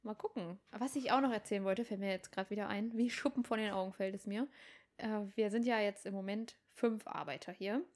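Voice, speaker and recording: A young woman from Germany co-hosting a casual podcast, chatty and quick to laugh, often acting out little quotes. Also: mid-range voice. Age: young adult. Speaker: podcast co-host. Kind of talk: casual chat.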